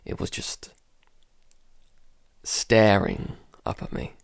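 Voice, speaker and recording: A man playing an anxious, sleepless recluse recording a halting, weary diary in an audio drama. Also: dry recording, lightly acted. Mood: haunted. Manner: halting, sighing, low-key and weary. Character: Jason, anxious, sleepless man barricaded at home, keeping a diary. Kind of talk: audio drama, first-person diary monologue.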